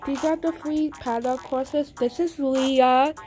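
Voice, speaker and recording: A young girl casually narrating a tour of her game world, naming each character one by one with halting restarts, recorded as a voice-over. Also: high voice, steady tone, a little mellow. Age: under 10. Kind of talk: casual show-and-tell narration. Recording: music playing behind.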